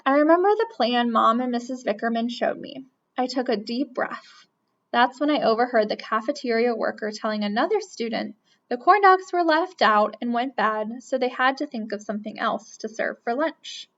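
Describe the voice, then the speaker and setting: A woman reading a children's picture book aloud with expressive storytelling. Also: on the high side, normal speed. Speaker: reader. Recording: dull lo-fi recording.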